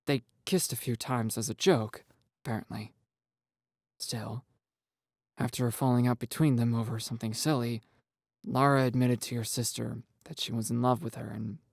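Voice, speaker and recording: A man playing a soft, worried teenage girl. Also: medium pitch, gravelly, no room sound, clean studio sound. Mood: explaining gently. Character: gentle, worried transgender teenage girl, tearful.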